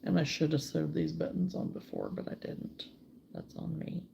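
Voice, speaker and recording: A woman hosting a crochet live stream, chatting casually with viewers while she works, her voice still hoarse and froggy from a cold. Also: deep voice, normal speed, muffled recording, background noise. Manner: relaxed and chatty, mutters to herself while working.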